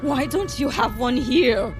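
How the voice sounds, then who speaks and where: A frantic mother in an audio drama, her voice extremely high and shrill with desperation. She pleads urgently for her child, with music clearly underneath. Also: expressive, takes it slowly. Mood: desperate, demanding.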